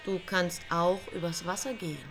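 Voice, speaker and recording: Actress as a cool, needling angel, noisy backdrop. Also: female voice, lowish voice, fairly even intonation, moderate pace, fairly bright tone, lightly acted. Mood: dry, needling. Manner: dry, matter-of-fact, teasing persuasion. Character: cool, nagging female angel, practical and needling. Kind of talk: radio play dialogue.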